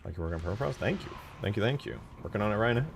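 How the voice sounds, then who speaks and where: A man's voice: lowish voice, animated, very fast, background noise. A man giving casual live commentary as a game modder, laid-back, muttering asides to himself and swearing casually. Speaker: game modder streaming his work.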